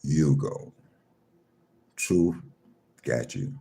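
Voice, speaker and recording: A man with a very deep voice hosting a live chat room, calmly greeting listeners one by one and talking in a style. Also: gravelly. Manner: slow, calm, repeats himself, reads names off a screen.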